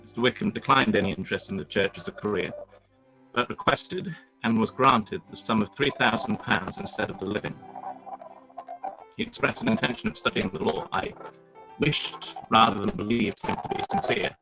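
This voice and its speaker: An actor playing a proud, reserved young gentleman, speaking formally and with measured gravity in a live-read radio play. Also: on the low side, creaky, music in the background, low-quality recording. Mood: grave, earnest. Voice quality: formal, measured, stiffly polite.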